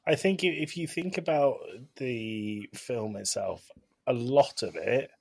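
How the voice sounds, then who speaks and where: A man's voice: medium pitch, animated, slow, vocal fry, slightly rough, no echo. A man co-hosting a podcast, giving his take in casual conversation. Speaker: podcast co-host. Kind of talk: casual banter.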